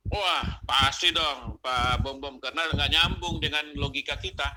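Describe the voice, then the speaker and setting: A man with a slightly high voice talks as a panelist on a religious discussion. He argues conversationally, repeats key phrases and seeks agreement. The audio is noisy, with clicks. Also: moderate pace. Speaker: panelist on an online religious talk show. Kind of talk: opinionated conversational commentary with religious exhortation.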